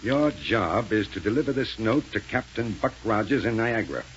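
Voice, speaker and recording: An actor playing a ruthless, impatient gang leader who snaps orders, in a 1930s radio serial style. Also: male voice, on the low side, lively intonation, normal speed, a bit bright. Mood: brisk, commanding. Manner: curt, bossy, snapping orders. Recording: muffled, noisy 1930s radio recording.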